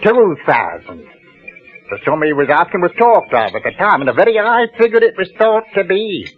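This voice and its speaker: Give me a nosy old country gardener, slightly high and sing-song, on an old lo-fi radio play. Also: male voice, big pitch swings, moderate pace, soft background music. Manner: rambling, dialect speech, gossipy. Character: chatty rustic old male gardener, nosy. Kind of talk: 1940s radio acting. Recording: dull lo-fi 1940s radio broadcast.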